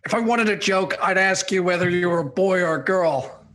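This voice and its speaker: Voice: medium pitch, moderate pace, a bit bright, lightly acted. An actor playing a cranky, dismissive film critic in their sixties in a scripted comedy radio skit. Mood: grumpy. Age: 60s. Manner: grumbling, dismissive, combative. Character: cranky opinionated 68-year-old film critic, a baby boomer.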